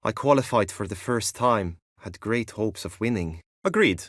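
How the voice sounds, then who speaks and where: Lovestruck chivalrous knight, animated and earnest. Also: male voice, lowish voice, moderate pace, no room sound, studio quality. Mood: eager, instructive. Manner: earnest, courtly, theatrical, archaic phrasing. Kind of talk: video game character acting, courtly formal speech.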